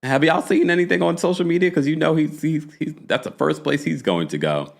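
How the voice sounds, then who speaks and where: A man hosting a casual live recap of a reality show, gossipy and animated, with quick asides to his chat. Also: fairly high pitch, gravelly.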